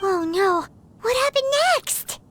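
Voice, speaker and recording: An actress voicing a sweet, innocent young granddaughter in a fantasy game, earnest and full of wide-eyed concern. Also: female voice, very high voice, slow. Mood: worried, curious. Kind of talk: animated game voice acting.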